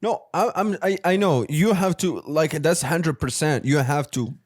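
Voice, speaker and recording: A man's voice: a little high, moderate pace, no echo, studio quality. Man from Morocco, rambling casual talk. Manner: rambling, repeats "you know what I'm saying". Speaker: podcast co-host. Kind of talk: casual chat.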